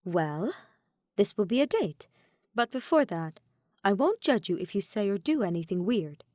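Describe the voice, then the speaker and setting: A woman voicing a composed, mature teenage girl who speaks politely and formally in a measured way. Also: medium pitch, big pitch swings, darkish tone, dry recording, clean studio sound, close to an everyday voice. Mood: calm, polite.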